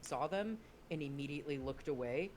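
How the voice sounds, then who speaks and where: Animated, sweary tabletop player. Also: mid-range voice, a little fast, a little hiss or hum. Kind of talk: casual joking chat.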